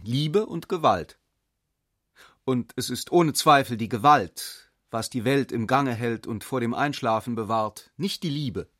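Brooding essay guy reasoning out loud, radio play, probing and deliberate, dry close sound. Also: mid-range voice, dry recording. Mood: measured, reasoning. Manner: probing, deliberate, philosophical questioning. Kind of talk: literary radio play monologue.

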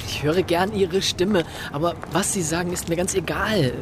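An actor playing a reserved, stubborn young Englishman in a German radio drama, speaking in short, polite, deadpan phrases. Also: high voice, normal speed, music in the background, close to an everyday voice. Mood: evasive, irritable.

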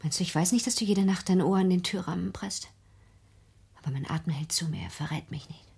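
A breathy, haunted, accusing daughter. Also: female voice, fairly low pitch, a little fast, a little mellow, full character voice. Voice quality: breathy, whispery. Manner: intense, brooding, accusing. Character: fearful, accusing, reclusive grown daughter haunted by her home. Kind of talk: radio play acting.

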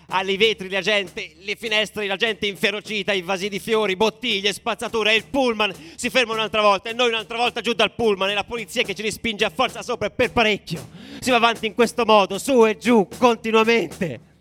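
A man acting a fervent football ultra in a theatrical monologue, telling his story in an intense, deliberate way with repeated phrases. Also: sits high, steady tone. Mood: agitated, shouting. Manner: intense, deliberate, repeats phrases, swings between hushed and shouted. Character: fervent male football ultra recounting riots and away trips. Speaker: stage actor in a radio theatre piece. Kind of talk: dramatic first-person theatrical monologue.

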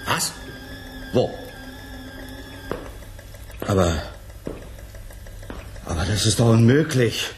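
An actor playing an agitated, insistent scientist at a space colony in a 1980s German radio play, speaking with dramatic emphasis. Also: male voice, on the low side, lively intonation, extremely slow, pauses often, over music. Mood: indignant.

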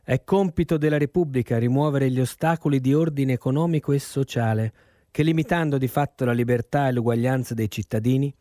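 A man giving a measured, formal reading of a legal text. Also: mid-range voice, warm tone, no room sound, studio quality.

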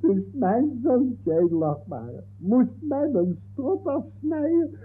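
Shrill, indignant referee on old radio. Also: male voice, big pitch swings, takes it slowly, breathy edge, full character voice. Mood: outraged. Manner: indignant, reads out notes, protesting. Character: aggrieved, pedantic male football referee in court. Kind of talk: 1960s comic radio play acting. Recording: tinny, narrow-band 1960s radio recording.

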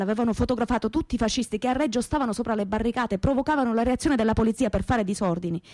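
A woman's voice: a little high, darkish tone, no room sound, natural voice. A woman playing a naive, plain-spoken young working-class woman from Reggio Calabria, in a theatrical monologue with a Calabrian accent. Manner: colloquial dialect-tinged telling, repetitive. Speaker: stage actress, narrator. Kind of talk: theatrical first-person monologue, radio theatre storytelling.

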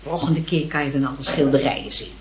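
A woman's voice: very deep voice, fairly even intonation, fast. A woman playing a kindly, fussing aunt in a radio play. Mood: patient. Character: kindly, fussing aunt looking after her young nephew.

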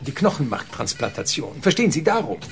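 A man's voice: mid-range voice, sing-song, brisk, fairly bright tone, soft background music. An actor playing a cornered, self-justifying father in a radio drama, hesitant, evasive and pleading. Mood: pleading, defensive.

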